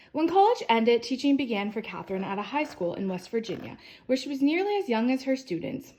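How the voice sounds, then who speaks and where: A woman with a slightly high voice reads a children's biography aloud in storytime style. She phrases deliberately, starting sentences with emphasis and letting the endings fall away softly. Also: sing-song, low-quality recording. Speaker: storyteller reading a picture book aloud.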